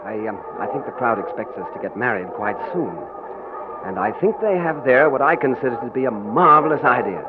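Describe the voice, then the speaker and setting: An actor in a 1940s radio drama playing an English gentleman who poses as a king, with a light, wry delivery. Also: mid-range voice, expressive, a little fast, close to an everyday voice. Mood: tender. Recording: tinny, noisy old radio broadcast.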